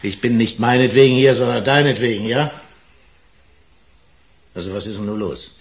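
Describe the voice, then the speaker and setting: An actor playing an informal, probing police detective in a radio crime drama. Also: male voice, mid-range voice, moderate pace, close to an everyday voice. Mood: familiar. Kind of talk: naturalistic 1980s German radio crime drama acting. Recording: echoey, slight noise.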